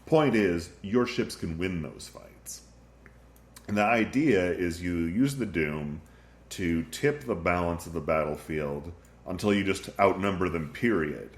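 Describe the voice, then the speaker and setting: A man's voice: sits low, roomy sound. A man narrating a casual gameplay walkthrough, thinking aloud with frequent ums, sniffly from a recent flu. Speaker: game tutorial narrator.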